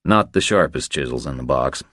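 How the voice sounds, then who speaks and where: A man's voice: rumbling low, moderate pace, no room sound, studio quality, heavily acted. A man voicing a cool, laconic drifter investigator with a terse, dryly wry delivery in a crime audiobook. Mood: deadpan, wry. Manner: deadpan, terse.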